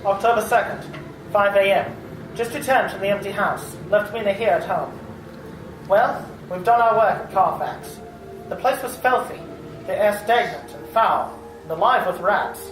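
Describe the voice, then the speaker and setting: A woman's voice: mid-range voice, moderate pace, noisy background, lightly acted. A woman playing a young male journal writer. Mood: terse. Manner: terse, matter-of-fact reporting. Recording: distant in roomy hall with music.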